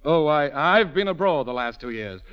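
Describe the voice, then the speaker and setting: A man's voice: a little high, expressive, moderate pace, clear, resonant tone, lightly acted. A man playing a boastful travelling showman in old radio drama, pompous and grandly courteous. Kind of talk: 1940s radio acting. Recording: dull old radio broadcast recording.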